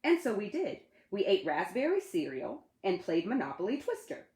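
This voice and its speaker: A woman reading a children's storybook aloud in an animated, dramatic storytelling manner. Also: medium pitch, normal speed. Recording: roomy, echoey sound.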